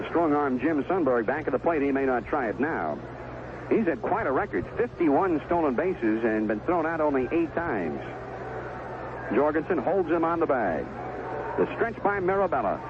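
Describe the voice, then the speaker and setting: American baseball announcer on tinny vintage radio. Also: male voice, fairly high pitch. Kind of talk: live baseball radio commentary. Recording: tinny, noisy 1970s AM radio broadcast.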